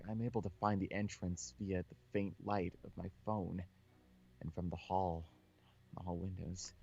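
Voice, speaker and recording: Low-voiced man reading aloud, dull mic. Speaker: co-host playing and reading a visual novel aloud. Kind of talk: reading game text aloud with casual reactions.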